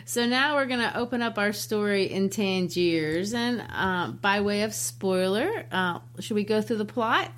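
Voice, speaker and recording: A woman's voice: mid-range voice, unhurried, few pauses. A woman who teaches and co-hosts a book podcast, talking in a chatty way and laughing easily. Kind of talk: casual book discussion.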